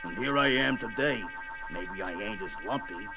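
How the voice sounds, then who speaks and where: A man playing a gruff, brawny rock-skinned monster hero in a comic-book audio drama, with gravelly, slangy, blustering tough-guy talk. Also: medium pitch, animated, moderate pace, over loud music, lightly acted. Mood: brooding.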